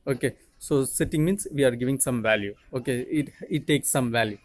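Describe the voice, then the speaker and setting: A young man with a Tamil Indian accent teaches at mid pitch. He explains step by step like a lecturer, haltingly, repeating himself and often checking in with a short tag word. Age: 20s. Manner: halting, repetitive, frequently checking with "okay". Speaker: teacher, video channel host.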